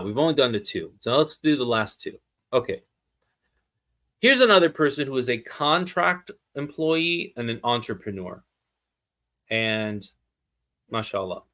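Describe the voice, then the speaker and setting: Bright-voiced man teaching step by step. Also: medium pitch. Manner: explanatory, thinking aloud, asks and answers own questions. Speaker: teacher explaining zakat calculation. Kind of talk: live streamed lesson, talking through worked examples.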